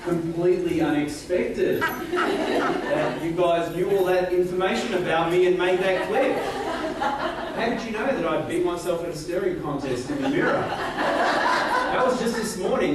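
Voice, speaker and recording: An Australian man in his forties giving a joking, self-deprecating rally speech with many fillers. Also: high-pitched. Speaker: company executive giving a speech. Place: large event hall on stage. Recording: echoey hall, from far off, crowd noise.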